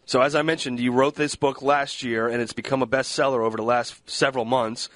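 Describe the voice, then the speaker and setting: A man hosting a radio interview, asking measured questions and slowing down for titles. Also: medium pitch, fairly even intonation, fairly bright tone, no room sound. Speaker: radio show host.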